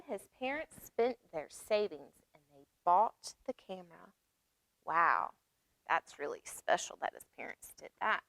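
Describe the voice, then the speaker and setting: A woman reading aloud at storytime for young children, expressive, pausing to explain and ask questions. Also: a little high, dry recording, rough recording. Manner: expressive, asks listeners questions and explains words. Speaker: children's library storytime reader.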